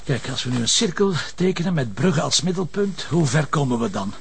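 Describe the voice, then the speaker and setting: An actor with a Flemish accent playing a harried, stubborn police commissioner in a naturalistic TV crime drama, with a husky, gravelly voice. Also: male voice, a little high, sing-song, fairly quick, slight background noise. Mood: brooding, urgent.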